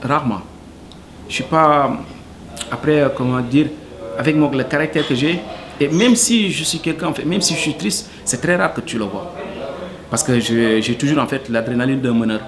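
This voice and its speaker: A man's voice: mid-range voice, normal speed, a crowd in the background. A man from Senegal, answering interview questions earnestly and hesitantly, full of fillers. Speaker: cook, interviewee.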